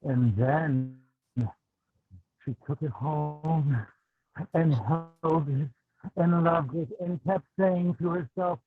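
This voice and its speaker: A man telling an improvised story theatrically in drawn-out phrases over a thin-sounding video call. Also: a little high, narrow, tinny audio. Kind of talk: slow dramatic improvised storytelling.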